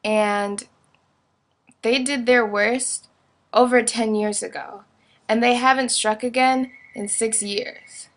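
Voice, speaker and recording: A woman's voice: on the high side, fairly even intonation, slow pace. A young woman giving a casual, opinionated video monologue in a blunt, informal way, peppering her sentences with "like".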